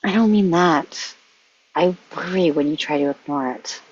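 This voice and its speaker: A woman playing a tender, worried young woman who soothes her lover, gentle and reassuring, in a script table read. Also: sits low, normal speed, slight background noise, lightly acted. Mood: tender, soothing. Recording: dull, lo-fi audio.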